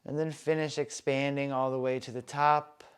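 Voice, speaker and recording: A man softly leading a guided breathing meditation, with calm, soothing instructions. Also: medium pitch, clear, resonant tone. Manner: slow, soft, calm and soothing guidance.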